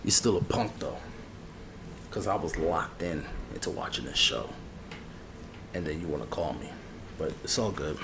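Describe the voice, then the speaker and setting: A man's voice: a bass voice, animated, moderate pace, pauses often. A man chatting casually in slang as an ASMR barber, rambling in a familiar way. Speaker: ASMR barber roleplay creator. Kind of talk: ASMR roleplay with casual chat. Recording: clear background noise.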